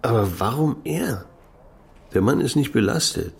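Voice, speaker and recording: An actor playing a blunt, cynical police detective with coarse, sardonic remarks. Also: male voice, medium pitch, expressive, a little slow, vocal fry, husky, heavily acted. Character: gruff, cynical male police detective colleague. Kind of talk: radio drama acting.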